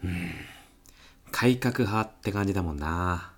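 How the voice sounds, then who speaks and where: A man's voice: low voice, unhurried. A man playing an easygoing department manager in a comedy audio drama, at ease with staff and courteous with clients. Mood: casual, unfazed.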